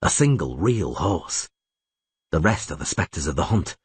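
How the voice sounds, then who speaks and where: A man voicing a grim, weary male monster hunter who speaks tersely and gruffly. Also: sits low, very animated, a little slow, no room sound, voice pushed down, exaggerated voice. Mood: grave.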